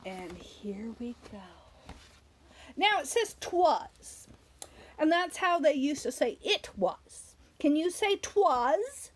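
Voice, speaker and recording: A woman reading a story aloud to young children, talking to them directly with questions and simple explanations in an animated, drawn-out way. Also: high voice, a little hiss or hum. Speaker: children's story reader and host.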